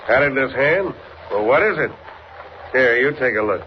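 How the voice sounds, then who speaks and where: Police sergeant male actor: American, colloquial, blunt interrogator, medium pitch, old-time radio drama sound. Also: expressive, normal speed, natural voice. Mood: puzzled, probing. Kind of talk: 1940s radio drama acting. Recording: muffled, noisy vintage radio recording.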